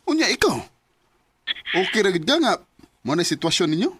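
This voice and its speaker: A man's voice: high voice, sing-song, moderate pace, a bit bright, breathy edge, dry recording. A man with a Cebuano accent acting in a Bisaya radio drama, playing the family's giver and narrating his story with emotional, pleading outbursts. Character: young man, family's giver, hurt and resentful.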